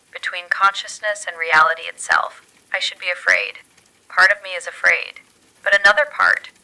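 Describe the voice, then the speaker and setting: A woman acts out a female scientist changing into something inhuman, reporting over a radio-filtered emergency broadcast. Her voice sits slightly low but has a very crisp, bright edge. She speaks in short, deliberate, solemn phrases, with awe mixed into her dread, as in an audio horror drama. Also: low-quality recording. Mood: fearful wonder. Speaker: narrator of an audio horror story. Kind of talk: scripted first-person horror radio monologue.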